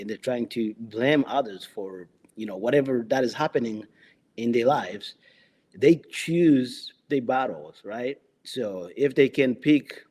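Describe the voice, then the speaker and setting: A man's voice: medium pitch, a little mellow. A man who speaks English as a second language, a police officer telling his experiences in a conversational interview, often restarting and filling with "you know". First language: not English. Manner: halting, many restarts and "you know" fillers.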